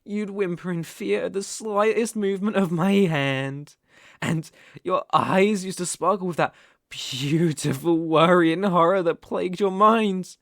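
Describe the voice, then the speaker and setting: A man's voice: sits high, measured pace, a bit bright, no room sound. A British man playing an obsessive, possessive young kidnapper in an intimate ASMR roleplay, coaxing and menacing in a condescending way, close on the microphone. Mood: condescending, threatening.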